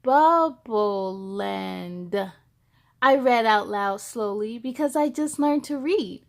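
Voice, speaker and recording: A woman's voice: high-pitched, measured pace. A woman reading a children's picture book aloud expressively, with casual wondering asides for young listeners.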